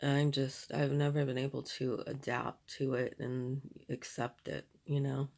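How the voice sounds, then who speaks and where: A middle-aged woman with a raspy voice, chatting candidly while doing her makeup, in a slow, rambling manner. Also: extremely low pitch, level tone, darkish tone. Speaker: beauty vlogger.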